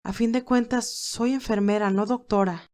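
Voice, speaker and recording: A woman's voice: fairly high pitch, steady tone, normal speed, darkish tone, close to an everyday voice. A woman voicing a modest, kind, easily flustered young nurse in an audio fan drama. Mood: modest, friendly. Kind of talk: acted audio fan fiction dialogue.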